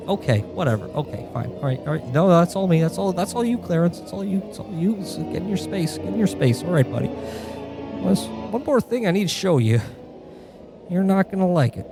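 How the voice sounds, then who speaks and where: Man playing a high, swooping, exasperated guardian angel. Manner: theatrical, swearing. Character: exasperated, foul-mouthed guardian angel showing an alternate world. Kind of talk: comedic radio play acting.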